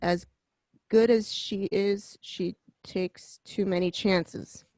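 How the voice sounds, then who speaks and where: A woman's voice: medium pitch, fairly even intonation, slow, a little mellow, no room sound. A woman playing a stern, wary mob boss in an amateur radio drama read, blunt and profane, repeating points for emphasis. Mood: warning.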